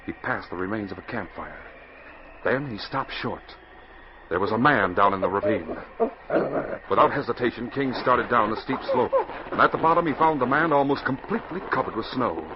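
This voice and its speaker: A man's voice: mid-range voice, expressive, normal speed. A man narrating an adventure story in dramatic, scripted 1940s radio style. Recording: muffled, noisy 1940s radio recording.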